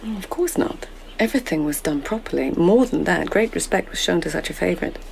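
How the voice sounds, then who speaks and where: Creaky, low-voiced, composed court lady. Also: lively intonation, darkish tone, a little hiss or hum, poor audio. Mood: composed, respectful. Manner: measured, formal, guarded. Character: discreet, composed court lady of an imperial palace. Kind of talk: radio drama acting.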